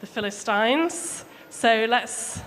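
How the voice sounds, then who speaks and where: A woman's voice: a little high, animated, slow pace, a bit bright. A woman telling a story to children in a chatty, simple, interactive way. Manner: chatty, asks listeners questions, addresses children simply. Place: church hall during a service. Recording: echoey hall with background noise.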